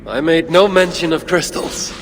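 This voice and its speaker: A man playing a proud, commanding Norse warrior god in a video game, with gravelly, solemn and imperious speech. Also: a little high, moderate pace, lightly acted. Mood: calm, guarded. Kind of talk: dramatic video game acting. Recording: reverberant, noisy game cutscene ambience.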